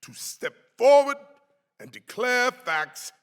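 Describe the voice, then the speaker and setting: A man reading as a dignified 18th-century clergyman defending his people, in a formal, deliberate, declamatory style. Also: very high-pitched, animated, fairly bright tone, smooth, heavily acted. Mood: solemn, resolute. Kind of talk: dramatic reading of a historical pamphlet. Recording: reverberant hall.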